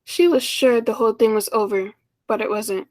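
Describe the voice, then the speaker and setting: A woman's voice: a little high, moderate pace, a little mellow, natural voice. A woman playing a lonely, earnest schoolteacher in her thirties in a 1940s-style radio play, speaking politely and tenderly. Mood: reflective narrating.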